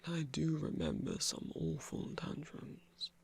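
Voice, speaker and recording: A man voicing a gentle trickster god in an ASMR roleplay, whispering slowly and soothingly in a breathy, creaky voice with refined, hesitant phrasing. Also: fairly high pitch, very animated, exaggerated voice. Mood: gentle reminiscing.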